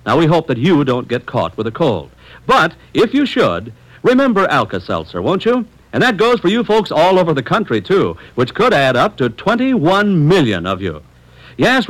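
A man announces in classic radio style, his voice a little high and swinging widely in pitch. He has the folksy, emphatic delivery of a sponsor's salesman. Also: a bit bright, poor audio. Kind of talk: old-time radio advertising pitch.

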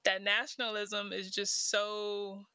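Bright-toned female host, thoughtful and conversational, dry close-miked delivery. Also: medium pitch, little pitch movement, slow, smooth, no room sound. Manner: thoughtful, many fillers like "like". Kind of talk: reflective casual conversation.

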